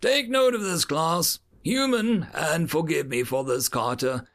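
Calm alien academy instructor, played by a male narrator, raised pitch, didactic and measured, sci-fi audiobook dialogue. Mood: calm lecturing. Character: calm, lecturing alien military educator addressing cadets.

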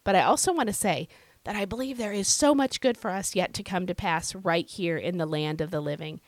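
Hoarse, earnest woman teaching. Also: fairly low pitch, brisk, dry recording. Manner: earnest, conversational, with fillers and repeated phrases. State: hoarse, scratchy voice from illness. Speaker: podcast host. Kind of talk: devotional podcast teaching.

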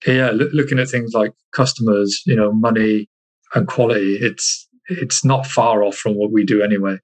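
A man from Iceland chatting casually as an interview guest, halting and repeating himself as he searches for words. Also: lowish voice, fairly even intonation, low-quality recording. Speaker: interview guest, army soldier.

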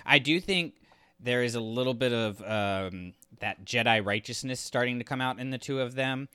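A man's voice: medium pitch, moderate pace, pushed and bright, clean tone, no room sound. A man co-hosting a podcast, discussing stories in a casual, opinionated, conversational way. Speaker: podcast co-host.

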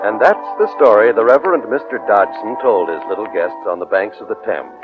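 A male storyteller reads a scripted narration in 1950s radio style. His pitch moves expressively over clearly audible music. The recording is old, narrow and muffled. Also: lively intonation, fairly quick.

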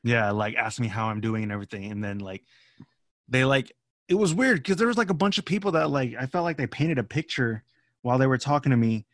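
A man's voice: mid-range voice, a little fast, dry recording, studio quality. A man in his late twenties chatting casually, with slangy, self-deprecating talk and loose swearing. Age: late 20s. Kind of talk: casual chat, swearing freely.

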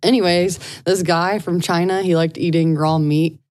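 A woman's voice: lowish voice, fairly even intonation, moderate pace, a crowd in the background. A woman co-hosting a casual podcast, chatting and teasing herself. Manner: chatty, self-mocking. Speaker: podcast co-host.

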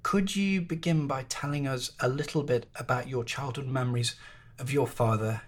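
A man hosting a history podcast, interviewing in an articulate, measured, conversational way with literary phrasing. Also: mid-range voice.

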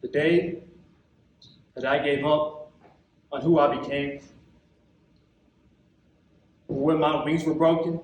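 A young man with an American accent performing a theatrical stage monologue as an embittered, wounded young man, impassioned and colloquial, with dramatic pauses. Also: a little high, steady tone. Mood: wounded, wistful. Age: young adult. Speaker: actor in a monologue competition. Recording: echoey hall, recorded from far off.

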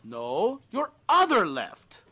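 Man playing a high-pitched, excitable young survivor. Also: slow, slightly rough, heavily acted. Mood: frantic, wry. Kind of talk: audio drama acting.

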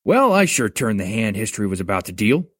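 A woman's voice: rumbling low, sing-song, fairly quick, slightly rough, no echo, clean studio sound, pitched below the performer's own voice, exaggerated voice. A woman voicing a scheming male coyote who grumbles wryly in a story reading. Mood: huffy.

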